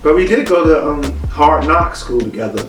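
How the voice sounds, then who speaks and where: A man's voice: on the high side, animated, moderate pace, distant mic. Laid-back man with short replies, echoey room. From Brooklyn, New York. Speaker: vlogger's husband. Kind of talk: casual couples Q&A chat. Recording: echoey room, off-mic, music behind.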